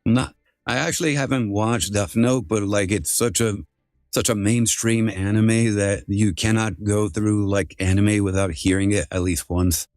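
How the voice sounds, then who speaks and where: Male livestream host, lower voice, informal and sweary, circles back over his phrases, very dry recording. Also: steady tone, unhurried, darkish tone, no echo. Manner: profane, informal, repeats phrases. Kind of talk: casual livestream chat.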